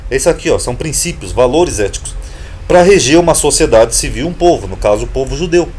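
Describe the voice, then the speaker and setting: A man's voice: mid-range voice, animated, fast, darkish tone, a little hiss or hum. A Brazilian man explaining Bible terms in an informal lesson, emphatic and repetitive, asking rhetorical questions. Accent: Brazilian Portuguese. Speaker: Bible teacher, channel host.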